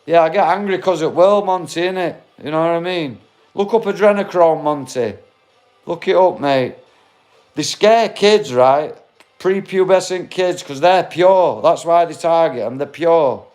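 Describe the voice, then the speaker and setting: A man's voice: on the high side, measured pace, a little mellow. Blunt, matey man in his forties. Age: 40s. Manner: blunt, matey, swears, calls everyone mate. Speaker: livestream host. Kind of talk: casual livestream chat to viewers. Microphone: phone.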